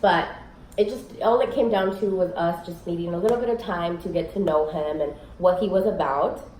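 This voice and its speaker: A young woman vlogging casually to camera at home, a little sick, rambling with many fillers in a raspy voice. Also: moderate pace, darkish tone. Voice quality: raspy, low voice. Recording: echoey room, faint noise.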